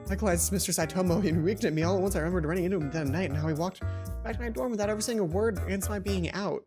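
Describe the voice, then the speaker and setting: A woman's voice: lowish voice, very fast, music in the background. A woman hosting a let's play, reading a visual novel aloud and adding casual commentary.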